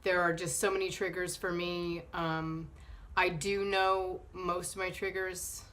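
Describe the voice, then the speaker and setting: A woman in her thirties with a cold, sounding a little nasal, talking casually to camera in a living room and pausing often with fillers. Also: fairly low pitch, flat delivery, fairly bright tone. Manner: hesitant, many fillers and restarts. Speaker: vlogger. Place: sunny living room indoors.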